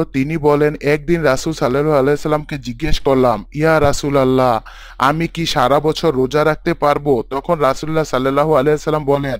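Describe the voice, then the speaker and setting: A man's voice: a little high, no room sound, clean studio sound, close to an everyday voice. A man playing a devout imam who gives formal, instructive sermons in a cartoon story. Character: devout male imam teaching his congregation.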